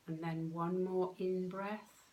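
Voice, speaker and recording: A woman with a lowish voice leads a guided meditation. Her delivery is very slow and calm. She gives soothing instructions in short phrases, pausing often. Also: dull audio. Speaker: meditation guide, soul coach.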